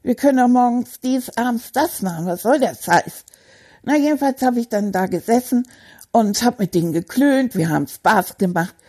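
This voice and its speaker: Brief: woman plays a male stuffed toy rat for a kids' audio story, husky and mellow, high-ish and gossipy. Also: moderate pace. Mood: chatty. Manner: excitable, gossipy, childlike storytelling. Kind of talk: children's audio story monologue.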